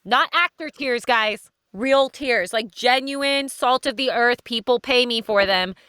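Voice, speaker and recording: Bright, clear-voiced woman in casual discussion. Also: fairly high pitch, unhurried, no room sound, studio quality. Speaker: cast member.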